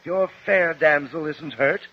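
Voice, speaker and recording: An actor playing a cruel, mocking landlord and husband in a 1950s radio drama, with an oily, sarcastic way of taunting. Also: male voice, a little high, a little slow. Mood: mocking taunts. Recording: dull, muffled 1950s radio recording.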